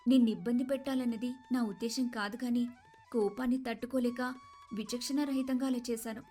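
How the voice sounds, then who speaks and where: A woman plays a rich, proud young wife with a very high, dramatic voice. She is sorrowful and repentant, in moral-story style over faint music. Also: fairly even intonation, brisk, warm tone, natural voice. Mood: regretful, apologetic.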